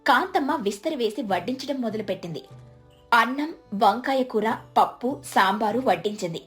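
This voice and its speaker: A woman's voice: on the high side, animated, normal speed, faint music behind. A woman narrating a scripted moral story as its storyteller.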